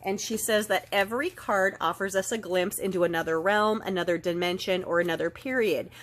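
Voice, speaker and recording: Woman, middle-aged, slightly nasal from a stuffed-up nose, gentle and earnest, card reader. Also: mid-range voice, fairly quick. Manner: gentle, earnest, reads passages aloud. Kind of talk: casual spiritual card reading.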